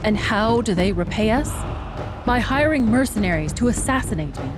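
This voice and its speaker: A woman's voice: medium pitch, lively intonation, normal speed, lightly acted. A woman playing a proud, indignant village elder in a fantasy game, with formal, commanding delivery. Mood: rousing. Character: proud, indignant female village elder rallying her people. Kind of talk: fantasy video game acting. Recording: game music behind the voice.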